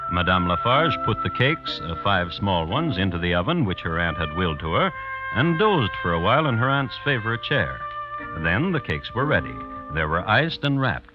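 An actor playing a dry, erudite narrator who tells a crime tale in measured, formal 1950s radio style. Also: male voice, fairly low pitch, very animated. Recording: dull old radio recording with music. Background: prominent music.

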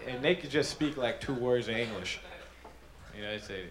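A man's voice: mid-range voice, normal speed. A man doing brash, profane stand-up comedy, talking conversationally and teasing a live audience. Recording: noisy live club, loud crowd, roomy echo.

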